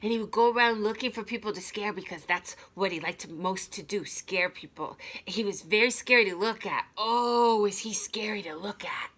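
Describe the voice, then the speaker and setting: A woman's voice: mid-range voice, moderate pace, few pauses, fairly bright tone, natural voice. A woman voicing a playful, evasive young boy in a storybook, dramatic and sometimes dropping into whispers. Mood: spooky, excited.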